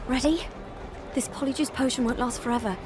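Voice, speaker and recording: An actress playing a brisk, clever and caring teenage witch in a video game. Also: high-pitched, fairly even intonation, natural voice. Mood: purposeful. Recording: music behind the voice.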